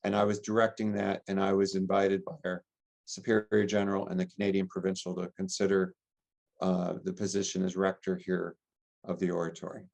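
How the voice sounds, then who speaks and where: An American man, a middle-aged priest, giving earnest, gentle interview answers and listing ideas in long chains. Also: low-pitched, flat delivery, a little fast, vocal fry. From United States. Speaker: priest and rector, interview guest.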